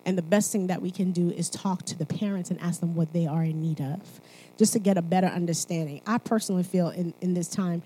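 A woman's voice: on the low side, a little fast, warm tone, a little hiss or hum, rough recording. A woman from Haiti speaking at church, mixing sermon and personal testimony in a conversational, emphatic manner, often checking in with listeners.

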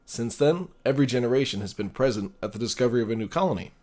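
A man's voice: mid-range voice, expressive, racing, dark tone, close to an everyday voice. An actor playing a dutiful young man applying as a pilot in an audio drama, formal and respectful.

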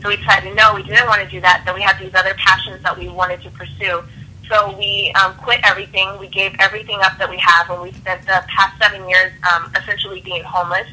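A woman's voice: mid-range voice, flat delivery, a little fast, bright, forceful tone. A woman calling in as a guest, telling her story conversationally over a phone line. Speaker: phone-in guest, lifestyle brand co-founder. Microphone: phone call. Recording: tinny telephone line.

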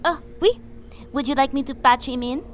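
Woman acting a high-voiced, devout French warrior maid. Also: a little fast, noisy background, lightly acted. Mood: sweetly earnest. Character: devout, blunt young French warrior saint on trial. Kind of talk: comedic audio drama acting.

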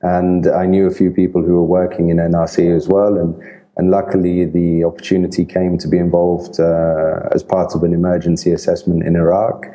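A middle-aged man from Italy who grew up in England, a humanitarian worker answering interview questions thoughtfully with many pauses and restarts. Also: low voice, monotone, mellow, poor audio. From Italy, raised in England. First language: Italian.

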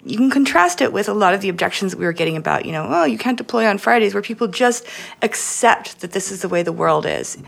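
A woman's voice: mid-range voice, lively intonation, fast talker, gravelly. A woman co-hosting a podcast in casual, opinionated discussion, often arguing with rhetorical questions. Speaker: podcast co-host.

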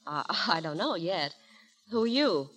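A woman's voice: on the low side, sing-song, measured pace, fairly bright tone, poor audio. An actress playing a brash, mischievous young woman in a 1930s radio comedy, sassy and wisecracking. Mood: breezy, playful. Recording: vintage 1930s radio broadcast.

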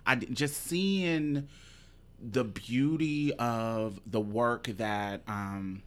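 A man's voice: medium pitch, extremely slow. A host in his forties from Indianapolis who talks in a chatty, emphatic way and swears casually. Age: 40s. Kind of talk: casual interview.

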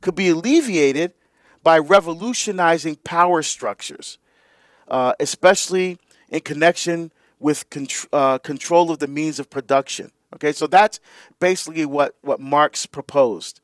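Relaxed talk-radio host, higher voice. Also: male voice, unhurried, no room sound. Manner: relaxed explaining with fillers and laughs.

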